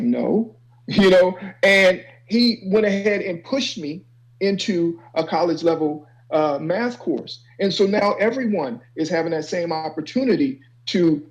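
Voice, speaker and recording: A man's voice: sits high, a little slow, poor audio. A man speaking earnestly and conversationally on an informal panel as a guest college counselor, often adding "you know".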